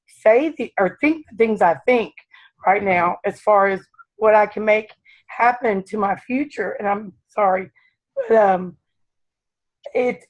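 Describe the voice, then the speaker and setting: A raspy woman with a mid-pitched voice who has just woken up. She shares her thoughts on a call in an earnest, halting way, often restarting her sentences. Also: a little mellow, rough recording. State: just woke up. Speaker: caller. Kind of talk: casual sharing on a call.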